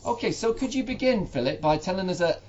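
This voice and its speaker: A man's voice: high voice, expressive, quick pace, a little mellow, lo-fi sound. A man hosting a podcast, giving a formal scripted introduction and asking polite interview questions. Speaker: podcast host.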